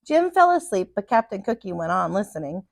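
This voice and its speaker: Animated woman reading a children's storybook. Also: medium pitch, brisk, vocal fry. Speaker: teacher reading a picture book aloud. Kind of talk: expressive storybook read-aloud for children.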